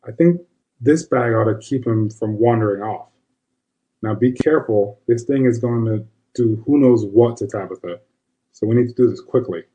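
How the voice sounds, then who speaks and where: A man's voice: mid-range voice, normal speed, mellow, dull audio, lightly acted. A man voicing a no-nonsense older witch grandmother, folksy and commanding, in an audio horror story. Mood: urgent, commanding.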